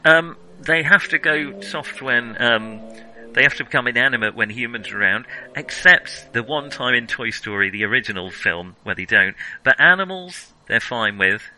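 A man's voice: mid-range voice, animated, normal speed, bright, forceful tone, low-quality recording. A man hosting a casual film discussion, enthusiastic and analytical, often stammering as he searches for words. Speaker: film podcast host.

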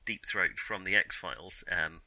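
A middle-aged man in a casual unscripted film discussion as a podcast co-host, often halting and correcting himself mid-thought. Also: sits low, a crowd in the background. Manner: halting, self-correcting, trailing restarts.

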